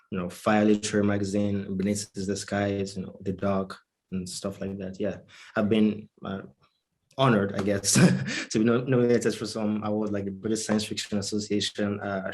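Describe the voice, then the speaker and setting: Low, even-toned Nigerian man. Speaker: writer, panelist. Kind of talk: conversational panel talk. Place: online video panel.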